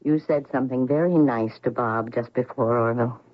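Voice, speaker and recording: Genteel older mother, radio drama: low female voice, refined and reminiscing, muffled vintage recording. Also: a little fast. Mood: earnest. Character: chatty, genteel older mother, nostalgic remarried widow. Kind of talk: old-time radio drama acting. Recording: dull lo-fi old radio recording.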